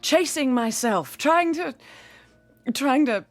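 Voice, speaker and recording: An actress playing a bitter, haunted, hard-drinking woman in her forties in a radio drama, theatrical and sardonic with a husky voice. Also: high-pitched, animated, takes it slowly, heavily acted. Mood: agitated, manic. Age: 40s. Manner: theatrical, sardonic, self-pitying. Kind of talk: old-time radio drama acting. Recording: radio drama with music underneath.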